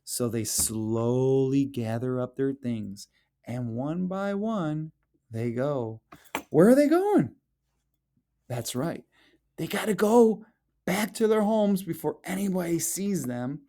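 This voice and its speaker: A man with a mellow voice reads a picture book to young children. His pitch swings widely as he plays up questions and exclamations. He takes his time, speaking slowly and talking directly to his young listeners like a playful school educator. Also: lively intonation. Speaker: elementary school educator reading aloud.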